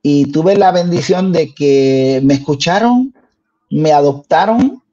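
An older man from Puerto Rico, a guest singer telling his story in an interview, earnest and unhurried. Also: fairly high pitch, expressive, mellow, dry recording. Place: home room. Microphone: headset on video call. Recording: dull lo-fi video call audio.

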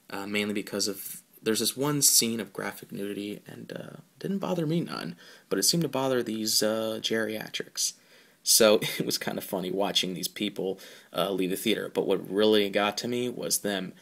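A man hosting a podcast, giving a casual, informal solo film review in a halting way. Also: on the low side, gravelly, sharp tone.

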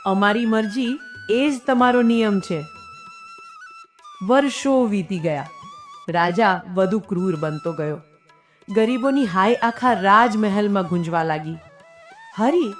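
Brief: female narrator for moral folk tales, measured and earnest, mid-pitched, light music bed. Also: a little mellow. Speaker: storyteller and channel host. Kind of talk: scripted moral story narration. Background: background music.

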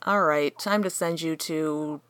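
A woman acts a brash guide to holidays past in a radio comedy. She is bossy and sarcastic, with a slightly low voice. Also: takes it slowly, fairly bright tone, no room sound. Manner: commanding, sarcastic. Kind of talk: comic radio play acting.

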